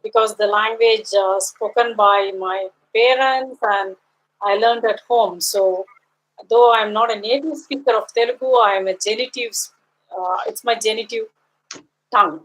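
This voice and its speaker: A woman who speaks Tamil natively, chairing an academic session and answering questions in a measured, halting way with frequent fillers. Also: a little high, a bit bright, poor audio.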